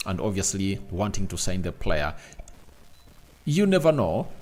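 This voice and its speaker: A man's voice: on the low side, darkish tone. A man hosting a casual football transfer news show, rambling and talking straight to his viewers. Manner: rambling, repeats "obviously" and "I tell you", talks to viewers directly. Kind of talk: casual solo commentary.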